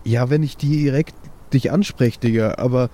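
Man playing a mellow, slangy festival dude. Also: mid-range voice, moderate pace, natural voice. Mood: defensive. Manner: slangy, hesitant, earnest. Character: dopey, easygoing slangy young festival guy named Dani. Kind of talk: improvised audio roleplay. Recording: noisy track with chirping crickets.

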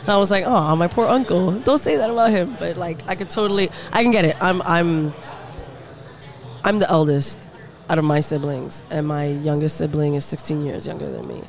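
A man hosting a podcast, chatting casually with guests in an animated, teasing way. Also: on the high side, gravelly. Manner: animated, teasing, quick reactions. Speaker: podcast host. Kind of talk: casual chat.

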